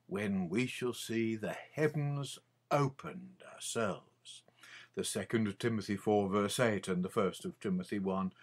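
An older man reading his own scripted Bible commentary in a measured, deliberate manner with frequent pauses. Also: lowish voice.

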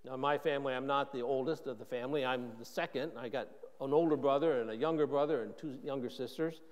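A man's voice: medium pitch, fast talker. A man in his sixties, a pastor giving a conversational sermon, often restarting his sentences as he thinks aloud. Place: church sanctuary. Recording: long church reverb.

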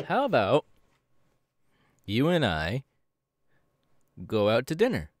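A man's voice: medium pitch, wildly swooping pitch, takes it slowly, no room sound, studio quality. A man playing a friendly, bashful young hero with a hesitant, playful manner in an ASMR audio roleplay. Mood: warm, tentative.

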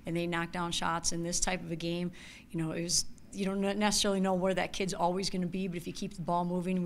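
A woman in her forties, a head coach, answering questions at a postgame press conference in a measured, analytical way into a table mic. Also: on the low side, a little hiss or hum. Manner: measured, analytical, repeats key words. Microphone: table mic in front.

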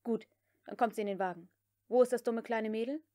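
A woman voicing a cool, mocking gentleman who takes command, as part of an audiobook reading. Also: fairly high pitch, a little fast, no echo, clean studio sound, close to an everyday voice. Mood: brisk, commanding. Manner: sardonic, crisp, commanding. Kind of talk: dramatic audiobook character reading.

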